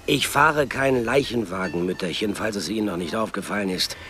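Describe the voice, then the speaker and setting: An actor playing a dismissive militia captain, curt and sarcastic, in a radio drama. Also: male voice, on the low side, brisk, a bit bright, faint music behind, close to an everyday voice.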